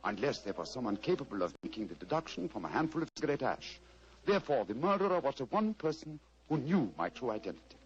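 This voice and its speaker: An actor playing a keen, courteous and resolute detective in a radio drama, with precise, formal speech. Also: male voice, mid-range voice, big pitch swings, quick pace, slightly rough. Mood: keen, assured. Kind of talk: 1940s radio drama acting. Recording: muffled, hissy vintage radio recording.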